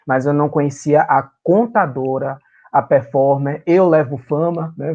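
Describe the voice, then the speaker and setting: A man from Salvador, a performer and writer, talking with emotion as a guest in an interview and often pausing with fillers to find his words. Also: medium pitch, warm tone, highs cut off. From Salvador, Brazil. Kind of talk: remote interview, reminiscing.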